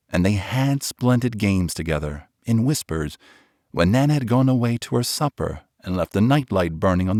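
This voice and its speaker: A man narrating a children's storybook in a gentle, expressive way, slowing on the tender lines. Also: fairly low pitch, no room sound, clean studio sound. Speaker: audiobook narrator.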